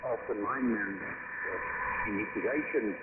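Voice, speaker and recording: A man's voice: fairly low pitch, a little slow. A man in his forties with a South African accent being interviewed casually by phone, speaking emphatically and often repeating himself. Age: 40s. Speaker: interviewee, car owner. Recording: tinny shortwave radio, phone line, static.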